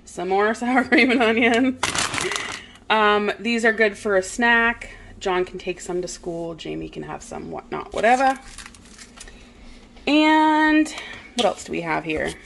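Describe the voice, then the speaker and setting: A woman vlogger casually narrating a grocery haul in her home kitchen, relaxed and chatty with frequent "um"s. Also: medium pitch, unhurried, gravelly, long pauses, a little hiss or hum.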